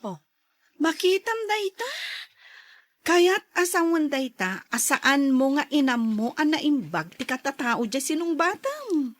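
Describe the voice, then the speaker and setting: Animated high-pitched young Ilocano friend. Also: female voice, slow pace, heavily acted. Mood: surprised. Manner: animated, teasing. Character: lively, teasing young female friend and workmate. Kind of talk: Ilocano radio drama acting.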